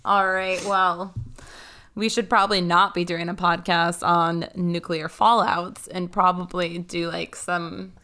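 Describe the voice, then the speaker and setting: A young woman hosting a podcast, chatting and teasing in a wry, brisk way and reading quiz questions aloud. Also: lowish voice, flat delivery, bright, forceful tone. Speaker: podcast host.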